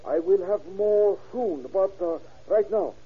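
An actor playing an evasive, ingratiating drug dealer with halting broken English and a husky voice in an old radio drama. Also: male voice, high-pitched, full character voice. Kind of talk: 1940s radio acting. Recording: muffled old radio recording.

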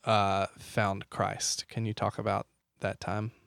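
Smooth-voiced male interviewer. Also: on the low side, level tone, slow pace, fairly bright tone, no echo, studio quality. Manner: curious, probing, restarting questions. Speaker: interviewer host. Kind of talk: conversational interview.

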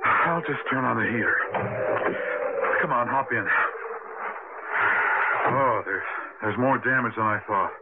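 Through a tinny, heavily noisy radio broadcast comes an actor as an earnest young husband. His mid-pitched voice is hesitant, stumbling as he tries to reassure. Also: a little slow, pauses often, natural voice. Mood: reassuring. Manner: hesitant, stammering. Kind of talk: radio drama acting.

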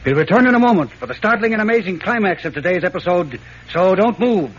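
An actor as the urgent narrator of a 1940s radio serial, delivering scripted lines in a dramatic, emphatic style. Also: male voice, high voice, expressive, fast, husky. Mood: dramatic, suspenseful. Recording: muffled, noisy old radio recording.

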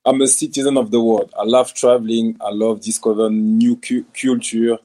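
A man's voice: mid-range voice, flat delivery, normal speed, dark tone. A man from France with a French accent, a former professional footballer answering interview questions in a hesitant way, with many restarts and fillers.

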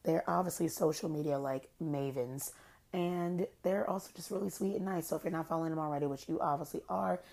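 Young woman, podcast host, hoarse, rambling gossip chat, lowish gravelly voice. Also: a little fast, darkish tone. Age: young adult. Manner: chatty, rambling, self-described low-key shady. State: hoarse, has lost her voice. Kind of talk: casual solo podcast chat.

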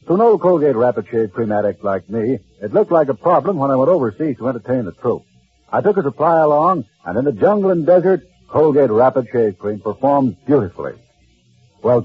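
Old timey american radio ad guy, husky, punchy, really lively pitch, tinny recording. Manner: emphatic, punchy sales delivery. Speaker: commercial announcer. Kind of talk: 1940s radio advertisement read. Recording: tinny old radio broadcast, faint music.

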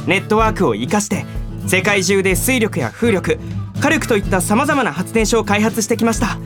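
A woman's voice: medium pitch, animated, moderate pace, natural voice. A woman plays a polite young man in a radio drama, boyish and sincere with a teasing streak. His intonation moves up and down freely, and music plays behind him. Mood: earnest explaining. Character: earnest, polite, teasing young male junior coworker. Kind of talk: Japanese radio drama acting.

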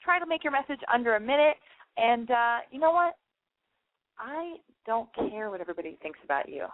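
A fairly high-voiced woman hosting a late-night call-in radio show. She talks bluntly and gushes, swearing freely. The sound is thin and tinny, like a phone line. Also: lively intonation, brisk. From German family.

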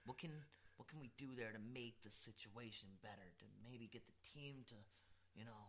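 A man with a scratchy, slightly hoarse voice telling stories in a casual, slangy chat, repeating phrases for emphasis. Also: medium pitch, brisk, fairly bright tone. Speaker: podcast co-host. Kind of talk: casual chat, animated storytelling.

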